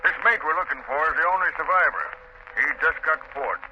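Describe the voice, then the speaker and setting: Man as high-voiced, anxious shipping boss. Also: lively intonation, fast talker, lightly acted. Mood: troubled. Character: anxious, brisk shipping magnate, owner of a freighter fleet. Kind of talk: 1930s radio drama acting. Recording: muffled, hissy 1930s radio broadcast.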